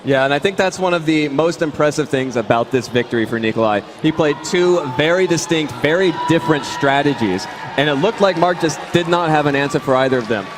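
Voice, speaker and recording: A man giving live play-by-play commentary at a competitive gaming tournament, calling the action with rising excitement. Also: on the high side, brisk, noisy background. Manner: excited calls, building to big announcements. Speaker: esports commentator. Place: event arena. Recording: loud crowd and music under voice. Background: cheering crowd and music.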